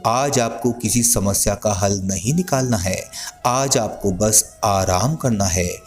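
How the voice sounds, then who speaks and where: A man leads a guided sleep meditation as a scripted narration. His pace is very unhurried, yet his pitch moves in a lively way. He gives soothing, gentle instructions over a music bed.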